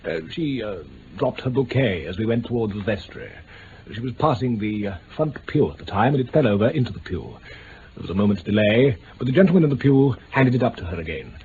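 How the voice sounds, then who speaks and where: A pompous English nobleman acted by a man with an upper-class accent and easily bruised dignity. He speaks stiffly, hesitating over delicate words, his pitch rising and falling freely. It is an old radio drama, muffled and faintly hissy. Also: expressive, brisk, darkish tone, natural voice. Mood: defensive, disdainful. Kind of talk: 1950s-60s BBC radio drama acting.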